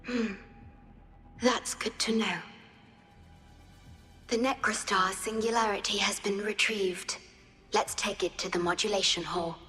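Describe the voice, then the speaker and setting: An actress playing a calm, composed researcher in a game, speaking in a measured, formal, precise way. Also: female voice, medium pitch. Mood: calm, attentive. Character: calm, composed woman researcher, protective and serious. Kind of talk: scripted game character acting. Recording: echoey hall reverb, music behind.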